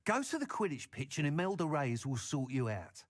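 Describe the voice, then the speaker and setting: An actor playing an enthusiastic broom seller in a video game, eager and persuasive. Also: male voice, on the high side, sing-song, normal speed. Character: enthusiastic, salesmanlike male broom shop owner.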